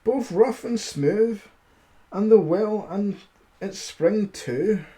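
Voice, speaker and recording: A man acts out a gullible giant from a fairy tale, growling and suspicious. The delivery is slow and emphatic, pitched on the high side, with a soft, mellow edge. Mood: shocked. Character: credulous, easily terrified giant, growling and suspicious.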